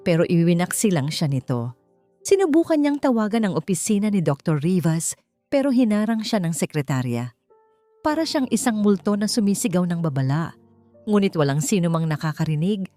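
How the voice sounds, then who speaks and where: A Filipino woman reads a dramatic audiobook story in a lowish voice. Her delivery is theatrical and very lively in intonation, with faint music underneath. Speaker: audiobook narrator. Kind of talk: dramatic scripted story narration.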